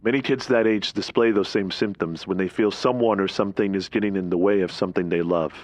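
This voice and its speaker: Male hospital staffer, played by an actor, audio drama, clinical and businesslike, deepish, no room echo. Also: fairly even intonation, fairly quick, darkish tone, close to an everyday voice. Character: matter-of-fact male hospital staffer on the phone.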